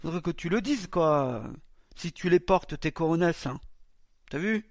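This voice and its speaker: A man's voice: on the high side, animated, measured pace, no echo, studio quality. A man hosting a small web radio show, talking in a mocking, defiant way with many hesitations. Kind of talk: commenting on recorded CB radio chatter.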